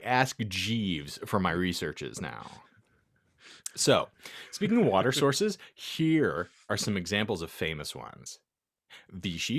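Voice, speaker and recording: A man's voice: on the low side, exaggerated voice. A man voicing a bratty, foul-mouthed teenage goth girl in a comedic fan fiction reading, slangy, indignant and melodramatic.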